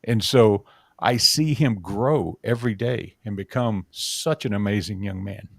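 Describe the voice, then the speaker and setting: A man's voice: on the low side, a little slow, creaky, fairly bright tone, slightly rough, dry recording, studio quality. A man guest on a talk show, telling a personal story in an earnest manner. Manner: earnest, storytelling, laughs easily.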